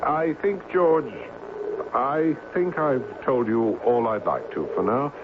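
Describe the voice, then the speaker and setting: An actor playing a wistful, evasive young English gentleman in a radio drama, hesitant and guarded in his speech. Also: mid-range voice, slow pace, close to an everyday voice. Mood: guarded, haunted. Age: young adult. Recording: tinny, noisy old radio broadcast.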